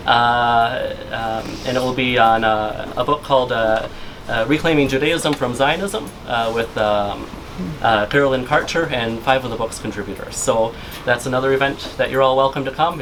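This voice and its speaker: A man's voice: medium pitch, noisy background. Hesitant man welcoming a bookstore crowd. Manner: halting, many fillers. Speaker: bookstore director. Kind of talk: event welcome announcements. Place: bookstore event space.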